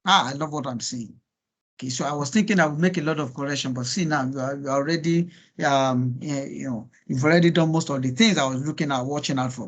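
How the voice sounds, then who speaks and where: A man with a high voice and a Nigerian accent, a native Yoruba speaker. He coaches in an informal, encouraging way, often repeating himself and putting rhetorical questions to his listeners. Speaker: guest speaker coaching students on LinkedIn profiles. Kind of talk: informal online workshop coaching.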